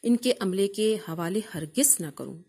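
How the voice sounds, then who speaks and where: Woman, Pakistani accent, mid-pitched voice, audiobook narration, scripted literary reading, measured and formal delivery. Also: expressive, mellow, breathy edge.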